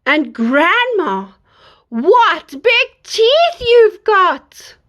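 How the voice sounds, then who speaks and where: A woman's voice: very high voice, very animated, extremely slow, a bit bright, muffled recording, pitched above the performer's own voice, full character voice. Woman doing a wide-eyed little girl. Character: small innocent young girl.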